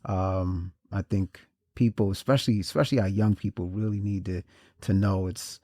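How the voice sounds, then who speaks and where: A man hosting a podcast interview in a casual, halting way, often restarting and repeating words. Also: low voice, steady tone, warm tone, no echo, clean studio sound. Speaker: podcast host.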